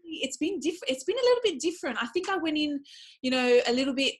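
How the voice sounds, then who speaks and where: Australian female podcast guest, higher-pitched voice, chatty, enthusiastic, casual conversational delivery. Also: big pitch swings, quick pace. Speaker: podcast guest, speaker and former PE teacher.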